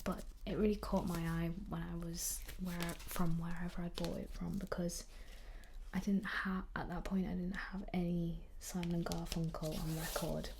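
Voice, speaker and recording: A young woman whispering in a soft-spoken ASMR chat, slightly croaky while getting over an illness, with frequent ums. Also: fairly low pitch, flat delivery, moderate pace, background noise. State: recovering from illness, at times croaky. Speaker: ASMR creator.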